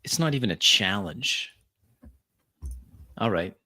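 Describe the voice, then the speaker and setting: A man playing a refined, snobbish vampire with measured, disdainful speech in an improvised tabletop roleplay. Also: fairly low pitch, animated, bright, forceful tone, full character voice. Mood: disdainful, lecturing. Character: refined, snobbish male vampire who savors quality.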